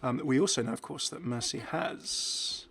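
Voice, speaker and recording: A man's voice: medium pitch, normal speed, gravelly, fairly bright tone. A man giving casual, enthusiastic game commentary in an informal, chuckly way. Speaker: video creator presenting game content.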